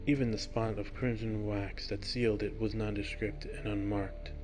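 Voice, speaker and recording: A man's voice: on the low side, little pitch movement, moderate pace. A man narrating a scripted fiction story as its reader. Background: music.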